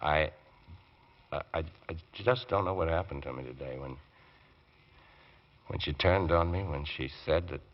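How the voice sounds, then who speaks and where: An actor playing a weary, self-reproaching defence barrister who speaks haltingly in an old radio drama. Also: male voice, a bass voice. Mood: dejected. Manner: halting, faltering with repeated words. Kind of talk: 1940s radio drama acting. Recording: dull lo-fi old radio recording.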